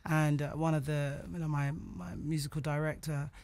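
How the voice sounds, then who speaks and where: A woman singer talks openly in a radio studio, her speech chatty and straightforward. Laughter comes easily to her. Her talk is relaxed and conversational, recorded close on a studio microphone. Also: sits low, moderate pace. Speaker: guest singer. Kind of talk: casual radio interview chat.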